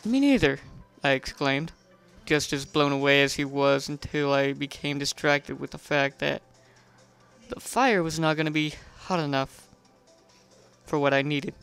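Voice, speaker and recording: An animated storyteller reads first-person fiction in a smooth, clear, mid-pitched voice. The narrator now and then trips and rereads a phrase. Music plays faintly behind. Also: unhurried. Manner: animated, stumbles and rereads lines. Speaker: narrator reading a fan fiction.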